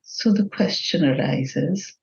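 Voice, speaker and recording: Slow-speaking woman guiding a meditation, muffled sound. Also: lowish voice, creaky. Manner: gentle, measured, with long pauses. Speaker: meditation guide. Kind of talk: guided meditation.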